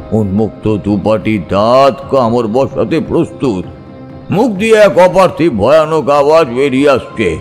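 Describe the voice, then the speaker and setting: A man narrating a scripted ghost story in a suspenseful, expressive, dramatic manner. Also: mid-range voice.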